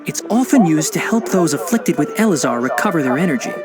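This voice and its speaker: A calm, learned young forest ranger explains plants in a patient, precise tone. His voice sits a bit high and moves lively through its range. Loud game soundtrack music fills the background. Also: fairly quick. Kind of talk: video game voice acting.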